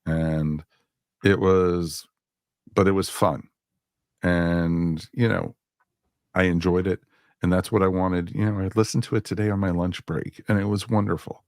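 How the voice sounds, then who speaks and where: Male podcast host, very low gravelly voice, dry close sound, loose conversational delivery. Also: fairly even intonation, a little slow, pauses often, a little mellow, no room sound. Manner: conversational, repeats himself, peppered with "you know". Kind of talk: casual podcast chat.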